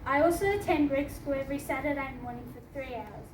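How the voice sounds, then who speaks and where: A woman's voice: high voice, fast talker. A teenage girl from Australia, reading her personal essay aloud at a book launch, earnest and laughing now and then. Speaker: student reading her story. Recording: echoey hall from far off, noisy.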